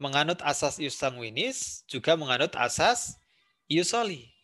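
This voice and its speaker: A man's voice: fairly high pitch, very animated, a little slow, pushed and bright. A man lecturing on civics with an Indonesian accent, explaining step by step with examples and repeating key points. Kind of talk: recorded university lecture.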